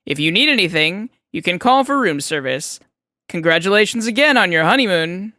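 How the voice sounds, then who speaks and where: A woman's voice: on the low side, animated, normal speed, pushed and bright, dry recording, clean studio sound. A woman voicing a courteous young male hotel clerk in an audiobook, with a polite, salesy customer-service delivery. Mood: cheerful, courteous. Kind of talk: fan fiction audiobook character reading.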